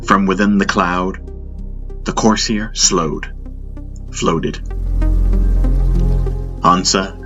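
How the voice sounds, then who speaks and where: Deep-voiced man narrating sci-fi over loud music. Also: fairly even intonation, drawn-out words, long pauses. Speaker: audiobook narrator. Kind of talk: scripted first-person sci-fi audio drama narration. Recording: dull muffled sound under loud music.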